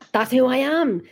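A woman with an Irish accent hosting a casual chat over a video call, telling long rambling stories and interrupting herself often. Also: sits high. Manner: chatty, rambling, self-interrupting storyteller. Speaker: podcast host and paranormal investigator.

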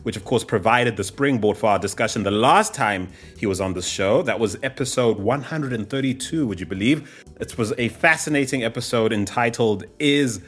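A man hosting a podcast interview, lively and reading out guest bios at length. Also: medium pitch, normal speed, husky, soft background music. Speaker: podcast host.

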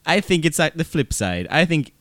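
A man co-hosting a podcast, talking casually about films in a halting way with repeated words. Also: fairly high pitch, very animated, fairly bright tone, no room sound. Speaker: podcast co-host. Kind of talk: casual film discussion, reading out quotes and notes.

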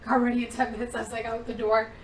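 A young woman comedian chats as a guest, jumping between tangents and acting out little scenes as she goes. Her voice sits a bit high, and she sounds set back from the mic in a roomy, echoing space with a faint hiss underneath. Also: racing, far from the mic. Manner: animated, acts out quotes, goes off on tangents. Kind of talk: casual storytelling chat.

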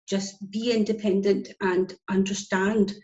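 A woman's voice: mid-range voice, level tone, a little slow, warm tone. A Scottish woman in her forties, a guest who works as a business connector, talking earnestly and with emphasis in a casual interview. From Scotland. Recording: dull lo-fi audio.

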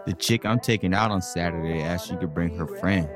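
A man's voice: low voice, brisk, music in the background, lightly acted. A man playing a cocky but guarded single guy in a casual audio drama, talking in boastful, skeptical slang over a game of pool. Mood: casual, wary.

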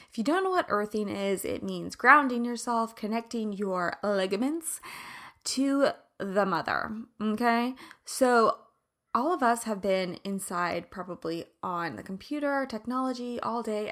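Bright-voiced woman, raspy with allergies. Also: a little high. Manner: chatty, animated, laughs often. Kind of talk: casual personal chat.

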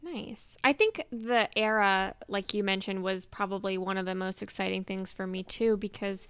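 A woman's voice: medium pitch, normal speed, a bit bright, clear, resonant tone, no room sound. A woman co-hosting a podcast, chatting casually as a fan in a discussion. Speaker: podcast co-host.

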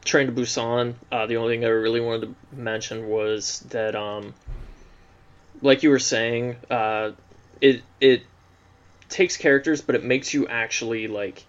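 A man's voice: mid-range voice, fairly even intonation, measured pace, lo-fi sound. A man chatting on a film podcast, hesitant and full of fillers. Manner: hesitant, many fillers and likes. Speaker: podcast co-host. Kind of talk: casual film podcast chat.

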